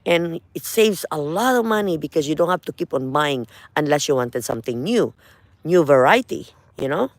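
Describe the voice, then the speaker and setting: A woman's voice: sits low, animated, normal speed, no room sound. Chatty woman with a Filipino accent. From Philippines. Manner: chatty, repeats words, frequent "you know" and "uh". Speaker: gardening vlogger. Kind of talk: casual garden walk-through. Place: outdoors in a front garden.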